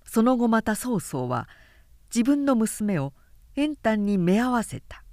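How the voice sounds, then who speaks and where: A woman reading a historical novel as a scripted audiobook, with measured, dramatic storytelling. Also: a little high, big pitch swings, a little mellow, no room sound, studio quality. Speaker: narrator, literary reader.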